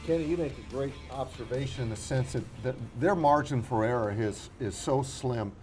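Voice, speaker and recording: A man's voice: mid-range voice, moderate pace, darkish tone, soft background music. A man giving conversational sports commentary as a panelist, in a folksy, rambling way.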